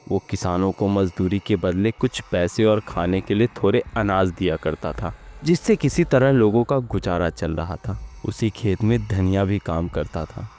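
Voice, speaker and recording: A man's voice: sits low, moderate pace, few pauses, a little mellow. A man narrating a scripted village story as its storyteller.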